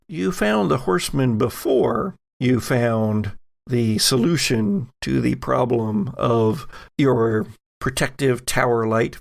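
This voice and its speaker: A man's voice: medium pitch, gravelly. A man acting as game master, narrating a tabletop roleplaying game with dramatic storytelling and casual asides. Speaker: game master of an actual-play podcast.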